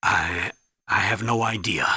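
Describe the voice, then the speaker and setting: An actor playing a gruff, older army colonel in a video game, raspy, troubled and defensive. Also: male voice, low voice, measured pace, pushed and bright, dry recording, clean studio sound, full character voice. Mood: defensive, evasive. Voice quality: raspy, gravelly. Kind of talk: dramatic video game voice acting.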